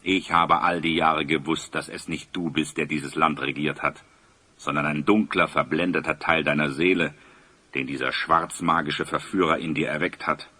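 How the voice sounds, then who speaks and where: An actor playing a just, dignified new regent in a fantasy audio play, speaking in stately declamation. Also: male voice, very deep voice, steady tone, moderate pace, pushed and bright, exaggerated voice. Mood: forgiving, grave.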